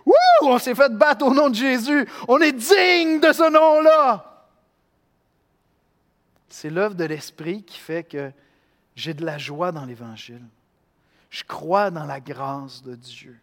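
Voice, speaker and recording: A man from Quebec with a Québécois accent, preaching a sermon in an animated way, acting out voices and swinging between loud and hushed. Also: high-pitched, slow pace, long pauses, long reverb tail. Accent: Québécois French. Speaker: pastor.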